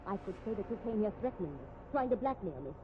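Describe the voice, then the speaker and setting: An actress playing a cornered, defiant, sharp-tongued married woman with dramatic, emphatic 1950s radio acting. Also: mid-range voice, sing-song, quick pace, lightly acted. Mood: defiant, threatening. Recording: tinny, noisy vintage radio recording.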